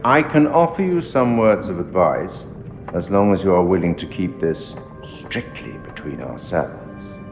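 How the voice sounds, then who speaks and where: Slow, sardonic mysterious male curator. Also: low voice, sing-song, creaky, full character voice. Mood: sly, inviting. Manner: measured, formal, wryly sardonic. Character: enigmatic, sardonic male curator judging the player's fatal choices. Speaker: narrator addressing the player. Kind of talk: theatrical scripted game narration. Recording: long hall reverb, music underneath. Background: music.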